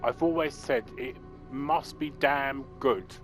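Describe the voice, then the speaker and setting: Man, let's play commentator, halting, rambling, game music underneath. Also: mid-range voice. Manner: rambling, halting, with restarts and fillers. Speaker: gameplay commentator.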